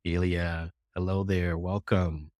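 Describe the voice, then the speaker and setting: A man's voice: sits low, level tone, slow pace, a little mellow, no room sound, studio quality. A middle-aged American man hosting a podcast interview, upbeat and effusive in a casual conversational way. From United States. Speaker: podcast host.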